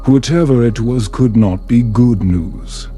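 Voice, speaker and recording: A man narrating a scripted bedtime story in a calm, soothing, measured manner. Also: medium pitch, faint music behind. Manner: soothing, measured, unhurried delivery.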